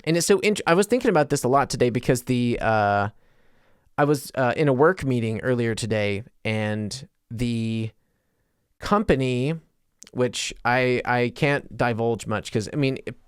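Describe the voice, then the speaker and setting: An American man talks casually as a podcast host, wandering through tangents and restarting his thoughts. His voice sits at an average male pitch and is smooth and clear. The sound is dry, with hardly any room around it. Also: measured pace, dry recording. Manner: self-interrupting, trails into tangents. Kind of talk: casual, rambling solo podcast talk.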